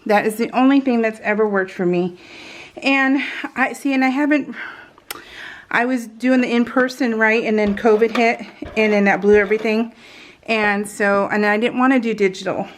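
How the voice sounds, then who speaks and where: A woman's voice: fairly high pitch, fairly even intonation, moderate pace. A woman chatting casually and giggly as a craft vlogger, rambling and interrupting herself while she works in real time.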